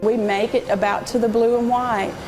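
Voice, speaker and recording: A woman in her fifties from Alabama with a Southern accent, telling her story in an interview inside a small restaurant, earnest and halting at times. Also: fairly high pitch, fairly even intonation. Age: 50s. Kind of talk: emotional interview. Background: music.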